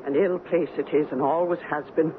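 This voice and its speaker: An actress playing a superstitious, blunt Scottish housekeeper with an ominous, dialect-laden manner in a radio drama. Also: female voice, low-pitched, fairly even intonation, fairly quick, lightly acted. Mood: uneasy, probing. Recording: muffled, noisy 1970s radio broadcast.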